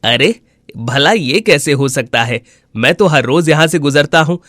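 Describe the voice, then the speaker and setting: A man playing a simple, kind-hearted villager in a cartoon moral story, with an earnest, expressive delivery. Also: a little high, dry recording, studio quality, full character voice. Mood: puzzled. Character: honest, simple, kind-hearted village husband and his neighbours.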